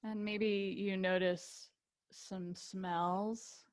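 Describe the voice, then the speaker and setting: A woman teaching yoga nidra, guiding a relaxation meditation with gentle invitations and long pauses. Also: mid-range voice, flat delivery.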